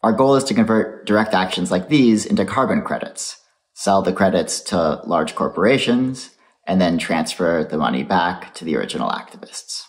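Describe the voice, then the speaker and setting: A man's voice: low voice, expressive, moderate pace. A man presenting an art project in scripted, explanatory narration.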